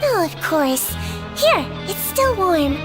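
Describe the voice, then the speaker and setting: A woman voicing a small, gentle creature who works as a nurse, speaking softly with a sly, teasing kindness. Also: very high-pitched, big pitch swings, slow pace, exaggerated voice. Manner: soft, kindly, quietly teasing. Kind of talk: game voice acting. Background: music.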